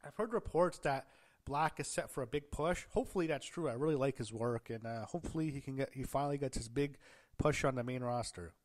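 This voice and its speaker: A man fighting off a cold gives a loose, rambling recap in a voice that sounds a little off. He corrects himself often and fills gaps with "uh". He stops now and then to gasp or clear his throat. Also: mid-range voice, fairly quick. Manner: rambling, frequent self-corrections and fillers; gasping and clearing throat at times. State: under the weather, voice a bit off. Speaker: wrestling show reviewer. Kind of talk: casual show recap.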